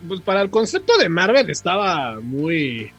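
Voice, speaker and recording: A man's voice: sits high, sing-song, a little slow, a bit bright, husky. A Mexican man hosting a podcast, chatting casually with slangy, profane, joking banter. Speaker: podcast host. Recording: podcast with music playing.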